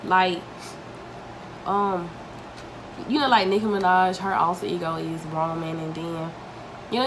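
Chatty young woman eating on camera. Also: fairly low pitch. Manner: chatty, talks straight to viewers while eating. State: congested from a cold. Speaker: mukbang vlogger. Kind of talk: casual chat while eating. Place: home dining room, indoors. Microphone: camera mic at table distance. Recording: home recording with noticeable room noise.

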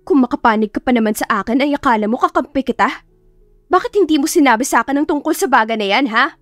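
Woman voicing a jealous, raging young woman, high-pitched. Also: animated, quick pace, dry recording, soft background music. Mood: threatening. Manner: accusing, confrontational. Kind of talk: dramatised audiobook dialogue.

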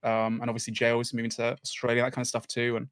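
Young guy from England, video call guest, quick dry delivery, chatty storyteller. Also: medium pitch, smooth, no room sound. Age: 20s. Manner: relaxed storytelling, laughs often. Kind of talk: casual podcast chat.

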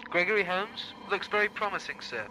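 Man playing a deferential clone officer, higher-pitched. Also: expressive, fairly quick, noisy background. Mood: dutiful. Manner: clipped, reporting. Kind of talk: science fiction audio drama acting.

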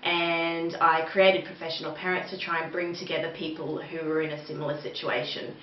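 A woman's voice: low-pitched, little pitch movement, fairly quick. A middle-aged woman with an Australian accent giving an informal webinar, explaining things in a conversational way. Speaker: webinar presenter. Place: small indoor room with bare wall, seated. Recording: roomy, distant mic, dull sound, faint noise.

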